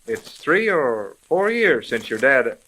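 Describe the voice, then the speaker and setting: A man's voice: medium pitch, sing-song, slow pace, pushed and bright, slight background noise, heavily acted, poor audio. An actor playing a cocky, eager young man with a brash, wisecracking manner, in 1930s radio drama style. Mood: surprised. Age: young adult.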